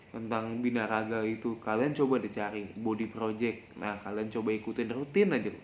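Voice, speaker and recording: A young man hosts a casual podcast, sharing tips in a rambling, self-correcting, confessional way and addressing listeners directly. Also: medium pitch. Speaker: podcast host. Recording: echoey room with faint background noise.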